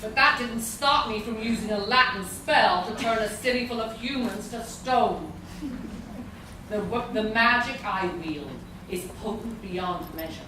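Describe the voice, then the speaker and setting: Lady acting a grandiose evil gargoyle sorceress, slow and commanding, super echoey noisy hall. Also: on the high side, sharp tone, recorded from a distance. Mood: scornful, menacing. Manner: grandiose, commanding, deliberate delivery. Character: imperious female gargoyle sorceress villain, grandiose and merciless. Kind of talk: live radio play acting. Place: live convention stage reading in a large room.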